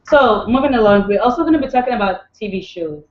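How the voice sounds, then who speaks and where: An upbeat woman from Nigeria, co-hosting a casual conversation and moving it along. Her voice is mid-pitched and sounds dull and lo-fi. The room around her is echoey. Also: animated, fast talker. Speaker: co-host. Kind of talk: casual chat.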